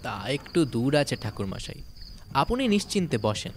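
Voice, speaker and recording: A man's voice: medium pitch, big pitch swings, normal speed, a little hiss or hum, close to an everyday voice. An actor playing a sly, deferential horseman with colloquial speech in an audio drama. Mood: falsely reassuring. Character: deferential rustic Bengali horseman with sly intent.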